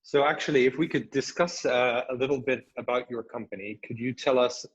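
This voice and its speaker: A man's voice: mid-range voice, brisk, husky, lo-fi sound. A man hosting an interview, asking his questions hesitantly, with many fillers and restarts. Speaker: podcast host.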